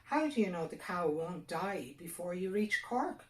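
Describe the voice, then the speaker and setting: A woman's voice: on the low side, sing-song, unhurried, far from the mic. Storybook old fairy man, played by a woman: tiny, sly, menacing, needling, recorded in an echoey room. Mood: threatening. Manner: pushy, needling bargaining.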